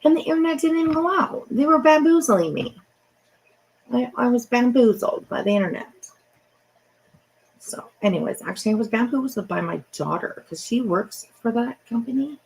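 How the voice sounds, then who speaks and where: Middle-aged woman streamer, creaky voice. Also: on the high side, expressive, normal speed, darkish tone, poor audio. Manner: chatty, rambling, mild swearing. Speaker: live stream host. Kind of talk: casual late-night livestream chat.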